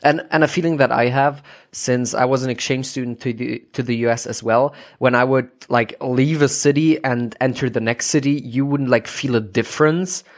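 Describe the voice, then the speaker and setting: A man's voice: mid-range voice, fairly even intonation, normal speed, few pauses. Dull lo-fi recording, man interviewing. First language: German. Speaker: podcast host, interviewer. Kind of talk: casual interview chat. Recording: dull lo-fi audio.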